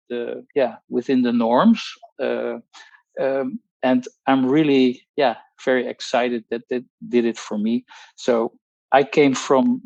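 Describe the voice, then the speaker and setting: A Dutch man in his sixties, speaking English as a second language, tells his own story as a guest on a video call. He talks with frequent pauses and restarts and uses technical wording. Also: mid-range voice, creaky, darkish tone, no room sound, muffled recording. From Netherlands. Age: 60s. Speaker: guest, pharmacologist. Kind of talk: personal testimony on a group call.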